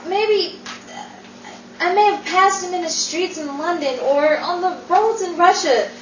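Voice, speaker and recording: A woman acting as a shy, grieving young woman who talks in halting, stammering phrases, heard from far off on an echoey stage. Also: extremely high pitch, dull audio, a little hiss or hum. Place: theater stage.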